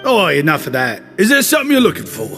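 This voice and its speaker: Fawning young shop clerk, lively and slightly high, soft game music behind. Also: male voice, fast talker, exaggerated voice. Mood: flattering. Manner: ingratiating, effusive. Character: flattering young male shopkeeper, smooth talker.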